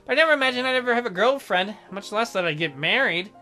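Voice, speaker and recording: A man voicing a shy, gentle, awkward doctor in a visual novel, with a hesitant and mild manner. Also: high-pitched, lively intonation, quick pace, soft background music. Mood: wistful. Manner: hesitant, mild, softly apologetic.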